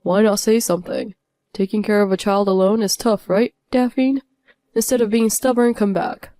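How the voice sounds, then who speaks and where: Woman voicing an indignant, pleading man, softened tone. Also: medium pitch, fairly even intonation, normal speed, no room sound, close to an everyday voice. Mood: exasperated. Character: whiny, blaming ex-husband and his bossy father. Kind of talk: dramatized story narration.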